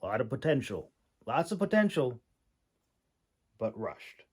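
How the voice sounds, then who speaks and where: A man giving an informal, unscripted critique in a halting, hesitant way, with many pauses and repeated words. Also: mid-range voice, big pitch swings, slightly rough. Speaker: audiobook narrator and manuscript critic.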